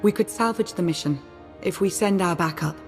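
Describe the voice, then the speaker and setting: A woman playing a brisk, wry resistance fighter in a video game, no-nonsense in manner. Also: lowish voice, a little mellow, faint music behind, natural voice. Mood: practical. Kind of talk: dramatic video game voice acting.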